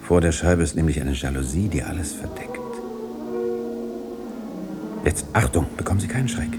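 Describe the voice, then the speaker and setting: An actor playing a mysterious, insistent man in hiding who conjures visions in halting, suggestive phrases in a radio play. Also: a bass voice, creaky, heavily acted. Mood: hushed, eerie. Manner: halting, suggestive phrases, sometimes dropping to whispers.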